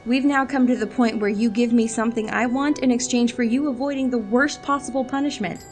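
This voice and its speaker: A woman playing a dry, no-nonsense agent in an audio drama, deadpan and businesslike. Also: on the high side, a little fast, soft background music, close to an everyday voice. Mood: stern. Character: dry, no-nonsense woman agent who hunts contraband sellers.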